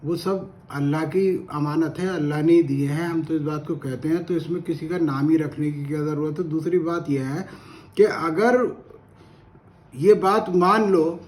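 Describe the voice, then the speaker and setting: A man's voice: on the high side, measured pace, darkish tone. A man from India, giving spoken opinion commentary in an earnest, argumentative way and repeating phrases for emphasis. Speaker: commentator on current affairs.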